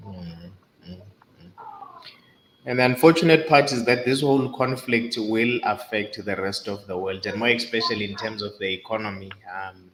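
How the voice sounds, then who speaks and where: A man's voice: on the low side, normal speed, fairly bright tone. A man making earnest points in a casual live-stream discussion, repeating them for emphasis. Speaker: discussion panelist. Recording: roomy echo with faint noise.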